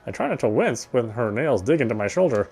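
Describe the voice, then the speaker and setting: Mid-pitched man reading story narration aloud. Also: very animated, fairly quick, gravelly, a little mellow, breathy edge, soft background music. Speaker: game player reading story text aloud. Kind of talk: first-person narration of a visual novel.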